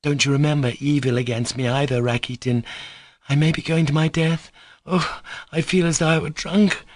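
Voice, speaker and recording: A man's voice: fairly high pitch, steady tone, normal speed, dry recording, voice pushed up, close to an everyday voice. A man voicing Grushenka, volatile passionate young woman. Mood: fierce. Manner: fierce, hysterical outbursts. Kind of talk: audiobook character reading.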